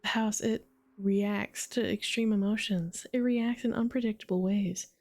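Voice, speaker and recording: A woman voicing a calm, guarded man who speaks gently and measured. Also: mid-range voice, close to an everyday voice.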